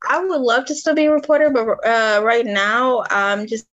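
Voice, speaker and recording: A woman's voice: on the high side, steady tone, normal speed, fairly bright tone, muffled recording. An American woman speaking casually as an interview guest, informal and prone to giggling. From Detroit, Michigan, US. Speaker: interview guest, fashion designer and author.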